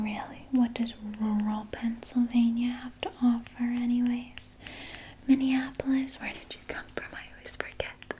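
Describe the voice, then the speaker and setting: Woman as female vampire nurse, ASMR whisper, breathy, unhurried, soothing yet sinister, coaxing, slight background hiss. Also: a little high, mellow, lightly acted. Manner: slow, coaxing, falsely reassuring, close personal address. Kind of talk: whispered ASMR personal attention roleplay.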